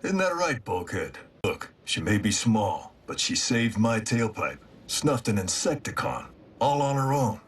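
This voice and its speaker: A man voicing a cocky, battle-hardened alien robot warrior in an animated action series, wry, swaggering and laconic, with a brash wisecracking streak. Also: on the low side, measured pace, a little hiss or hum. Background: music.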